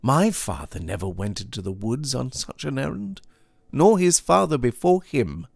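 Man playing an anxious young Puritan, swooping pitch. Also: big pitch swings, normal speed, vocal fry, breathy edge, dry recording, studio quality, heavily acted. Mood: earnest protest. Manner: earnest archaic formal speech. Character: anxious, pious young Puritan husband, earnest and hesitant. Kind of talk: dramatised audiobook reading of a classic tale.

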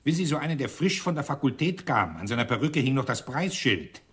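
A man playing an angry, sardonic police inspector in a German radio crime drama, gruff and sarcastic. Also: medium pitch, big pitch swings, fast talker. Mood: bitter. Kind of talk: German radio play acting.

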